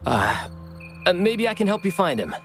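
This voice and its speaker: A man's voice: high-pitched, big pitch swings, moderate pace, a bit bright, faint music behind, full character voice. A man voicing a casual, helpful researcher in a video game. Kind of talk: scripted video game voice acting.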